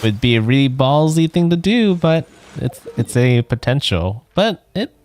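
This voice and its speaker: A man's voice: medium pitch, sing-song, unhurried, a little mellow, slight background noise. A man streaming a video game, giving casual let's play commentary. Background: faint game music.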